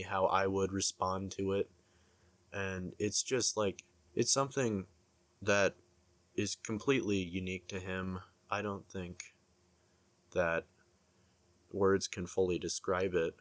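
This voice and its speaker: A man giving unscripted, reflective video commentary, haltingly searching for words and repeating himself. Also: low voice, no echo.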